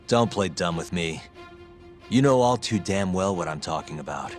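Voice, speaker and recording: An actor playing a steely investigator in a video game, speaking in a measured, accusing way over music. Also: male voice, low voice, expressive. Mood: cold accusation. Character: steely adult male investigator confronting a suspect. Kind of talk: English video game dub acting.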